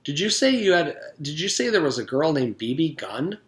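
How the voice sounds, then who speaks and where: A man's voice: a little high, sing-song, moderate pace, pitched above the performer's own voice. Man voicing a forgetful, plain-spoken young schoolboy. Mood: matter-of-fact.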